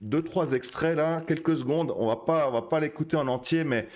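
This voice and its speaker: A man's voice: a little high, normal speed, darkish tone. A man hosting a casual poker live stream, talking with many fillers and restarts. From Switzerland.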